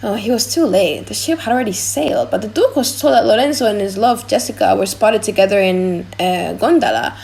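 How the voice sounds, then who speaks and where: A woman playing a gossipy, excitable young man in conversational audiobook drama dialogue. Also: mid-range voice, brisk, gravelly, hardly any pauses, close to an everyday voice.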